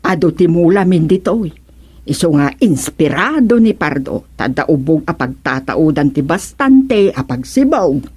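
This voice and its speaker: A woman's voice: low voice, big pitch swings, a little slow, darkish tone, breathy edge, no echo, heavily acted. A woman with an Ilocano accent playing a chatty country woman in a radio drama.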